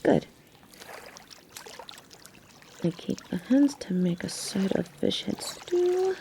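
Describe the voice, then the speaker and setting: A woman's voice: medium pitch, big pitch swings, unhurried, creaky, warm tone, slight background noise. A woman acting in an audio drama as a biker girl, a devoted human mate with a teasing, sarcastic way of talking. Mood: wry, friendly. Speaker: voice actor in an audio roleplay.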